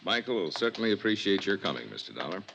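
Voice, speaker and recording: An actor playing a mild prison chaplain in a 1950s radio drama, polite, earnest and gently persistent. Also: male voice, low voice, big pitch swings, quick pace, vocal fry, background noise, heavily acted. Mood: kindly, sombre. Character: mild, courteous, tall male prison chaplain. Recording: vintage 1950s radio recording.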